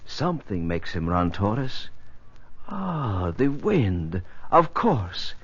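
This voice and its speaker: An actor playing an earnest, wonder-struck dreamer of flight, speaking poetically in a 1950s radio drama. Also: male voice, on the low side, very animated, takes it slowly, a bit bright, slightly rough, full character voice. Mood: curious. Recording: muffled old radio recording.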